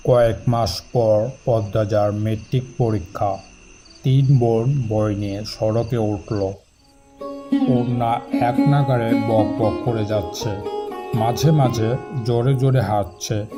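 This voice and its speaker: A man's voice: fairly low pitch, steady tone, takes it slowly, over loud music, rough recording. A man reading scripted story narration.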